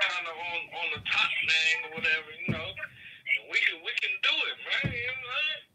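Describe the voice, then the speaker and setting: A man's voice: high-pitched, animated, brisk, very noisy. A man hosting a casual phone chat, loose and profane, with many "you know" fillers.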